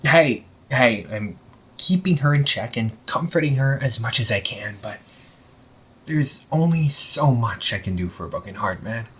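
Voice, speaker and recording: A man playing an anxious, wry young supervillain in a casual audio roleplay, talking informally with exasperated sighs and halting phrases. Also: mid-range voice, expressive, fairly bright tone. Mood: wry, resigned. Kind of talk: amateur audio roleplay, casual conversational acting.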